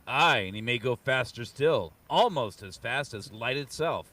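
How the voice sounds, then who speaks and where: A man's voice: medium pitch, animated, measured pace, sharp tone, recorded from a distance. A man playing an earnest, mighty warrior hero, declaiming in archaic phrases at a comic book table read. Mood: calm confidence. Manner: declamatory, archaic heroic diction. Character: noble, earnest muscle-bound barbarian hero, strongest man around.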